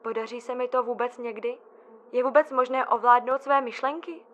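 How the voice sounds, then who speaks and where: A woman acts out a distressed young female patient in a radio play. Her voice sits fairly high and she speaks with earnest insistence, as someone long shut away and tormented by her own questions. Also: steady tone, a bit bright, a little hiss or hum, close to an everyday voice. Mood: anguished, questioning. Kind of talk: dramatic audio drama monologue and dialogue.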